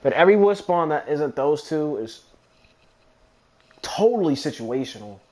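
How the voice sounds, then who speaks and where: A man's voice: on the high side, lively intonation, moderate pace, mellow. A young man giving offhand, chatty, profane commentary on a video game playthrough, with game music behind him. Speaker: gaming video host.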